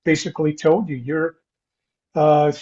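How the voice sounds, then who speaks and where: An older man hosting an interview podcast, talking in a halting, rambling way with repeated false starts. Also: a little high, fairly even intonation, a little mellow. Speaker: podcast host. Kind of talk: conversational interview. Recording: dull, lo-fi sound.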